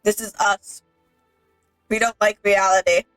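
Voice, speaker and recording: A woman giving casual commentary on a game, explaining things in a halting way. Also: medium pitch, flat delivery, a bit bright, clear, resonant tone, rough recording. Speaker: gaming companion. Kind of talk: casual let's play commentary. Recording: game music behind the voice.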